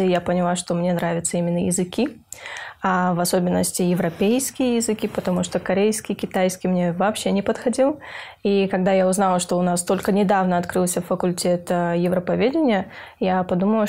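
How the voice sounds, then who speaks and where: A young woman, a university student from Kyrgyzstan, speaking earnestly in an interview, pausing with hesitant filler sounds and restarting her phrases. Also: fairly low pitch, a little mellow. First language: Russian. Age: young adult. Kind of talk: interview for a university news feature.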